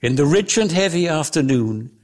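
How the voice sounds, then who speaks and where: A man giving a dramatic literary reading as storyteller, with theatrical, deliberate, emphatic phrasing. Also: on the high side, lively intonation. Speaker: reader of a story.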